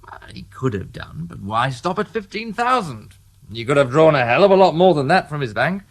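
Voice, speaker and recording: An actor playing a weary, dry-witted police detective inspector in a radio drama. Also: male voice, a little high, very animated, fairly quick. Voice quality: dry, understated, wry.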